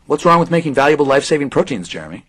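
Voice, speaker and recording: A man's voice: on the high side, big pitch swings, racing, a bit bright, no echo. An actor playing a skeptical, probing, dryly sarcastic male reporter in an audio drama.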